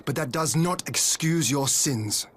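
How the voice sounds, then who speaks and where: An actor playing a stern, sorrowful noble lord in a video game, speaking gravely and deliberately in formal language. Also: male voice, fairly high pitch, a bit bright. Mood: stern, regretful. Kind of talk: video game cutscene acting.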